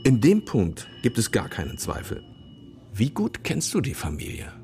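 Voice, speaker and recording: An actor playing a shrewd older police commissioner with a gravelly voice in a radio crime play. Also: male voice, mid-range voice, huge pitch swings, moderate pace, dark tone, faint music behind. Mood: dry briefing.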